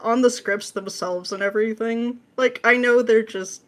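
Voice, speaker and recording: A woman's voice: a little high, fairly even intonation, normal speed. A woman speaking as a podcast guest in casual chat, sarcastic and playful, slipping into mocking mimicry. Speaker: podcast guest, script writer. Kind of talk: casual pop culture chat.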